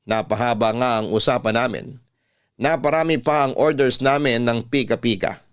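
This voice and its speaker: Male radio storyteller, Filipino accent, medium pitch, scripted first-person narration, classic radio style. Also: clean tone.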